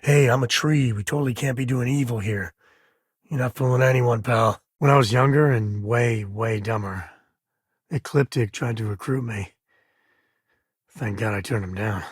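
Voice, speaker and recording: A man's voice: medium pitch, moderate pace, husky, no room sound, clean studio sound, close to an everyday voice. An actor playing an easygoing, wry, middle-aged spacefaring adventurer and father in a video game, talking in a folksy, colloquial way. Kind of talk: video game character dialogue.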